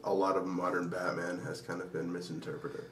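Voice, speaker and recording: A man co-hosting a podcast in casual chat, with a blunt, informal and halting way of talking. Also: deep voice, little pitch movement, slight background noise. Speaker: podcast co-host. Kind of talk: casual chat about pop culture. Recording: roomy echo, distant from mic.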